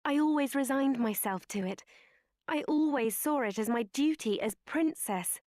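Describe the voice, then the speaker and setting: A woman's voice: high-pitched, animated, moderate pace, darkish tone, no echo, clean studio sound. A woman voicing a refined, earnest young princess with a British accent in a video game. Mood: heartfelt resolve.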